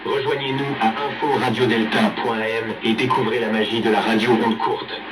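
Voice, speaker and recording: A man's voice: mid-range voice, flat delivery, a little fast, recorded from a distance, loud music behind the voice, poor audio. A man reading a scripted station announcement, heard through a shortwave radio. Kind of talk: scripted multilingual station jingle. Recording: shortwave radio broadcast picked up from afar.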